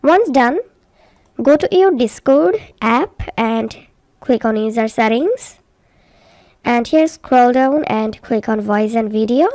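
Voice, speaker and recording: A woman presents a software tutorial step by step. She speaks slowly and deliberately, giving plain instructions. The sound is close and dry. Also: a little high, lively intonation, no room sound.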